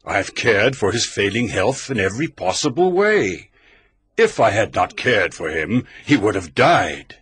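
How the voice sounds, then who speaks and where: An actor playing a bitter, vengeful old male physician in an audio drama, with a booming, theatrical delivery. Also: very animated, unhurried, a bit bright, husky, heavily acted. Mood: gloating.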